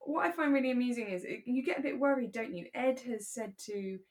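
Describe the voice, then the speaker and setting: A woman's voice: a little high, a little fast, a little mellow. A British woman co-hosting a podcast, chatting casually about a radio drama in a chatty, giggly way. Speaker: podcast co-host.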